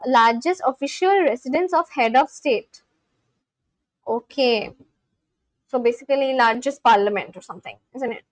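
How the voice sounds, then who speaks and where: Young woman, Delhi accent, high voice, dry close sound, chatty livestream talk, reads comments aloud. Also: no room sound. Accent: Indian English, Delhi. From India. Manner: chatty, reads chat comments aloud, quick apologetic asides. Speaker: live streamer. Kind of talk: casual livestream chat with viewers.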